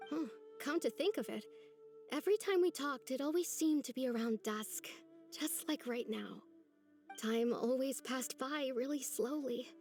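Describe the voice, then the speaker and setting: A woman playing a gentle, lonely young village woman in a video game, speaking in an earnest, soft, hesitant way. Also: high voice, a little slow, soft background music. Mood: pensive.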